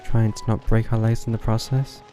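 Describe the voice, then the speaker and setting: A man giving casual live gameplay commentary, low-key and thinking aloud. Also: level tone, fairly quick. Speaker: game streamer. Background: game music.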